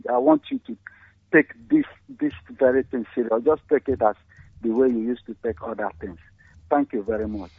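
Male caller, gravelly and husky, urgent and pleading, dry thin phone sound. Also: medium pitch, no room sound. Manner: urgent, pleading, halting with repeats. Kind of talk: phone call to a radio show. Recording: tinny phone line.

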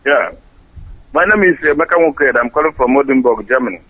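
A man's voice: medium pitch, animated, brisk, breathy edge, no room sound. Nigerian man on a tinny phone line. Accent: Nigerian Igbo-region. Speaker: phone-in caller. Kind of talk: earnest political monologue.

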